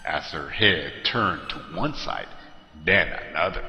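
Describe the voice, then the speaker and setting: A man's voice: low-pitched, lively intonation, takes it slowly, pushed and bright, slight background noise. A man narrating a scary story in a dramatic, scripted style. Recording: echoey long reverb. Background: music.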